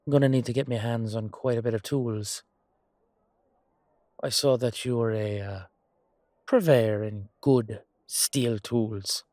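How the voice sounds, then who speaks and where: A man's voice: mid-range voice, darkish tone, no echo, studio quality, lightly acted. A man acting a humble, weary knight with a wry, casual, hesitant manner in an amateur fantasy audio roleplay.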